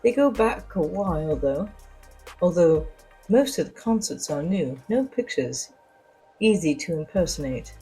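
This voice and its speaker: A woman reading scripted first-person fiction as a narrator, in a deliberate, dramatic storytelling manner. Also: on the low side, expressive, warm tone, husky, faint music behind, poor audio.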